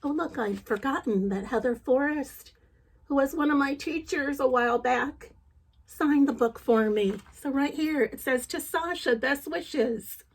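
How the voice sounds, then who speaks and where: A woman reading a children's story aloud, gentle and expressive, chatting to her young listeners as she goes. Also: high-pitched, unhurried, low-quality recording.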